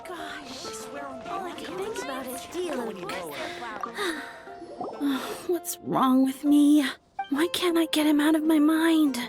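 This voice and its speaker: A very high, girlish voice a lovestruck flower shop girl in a video game. She is dreamy and easily flustered, lingering over her words, with music clearly behind her. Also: slow pace, long pauses. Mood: dreamy, pining. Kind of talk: English video game voice acting.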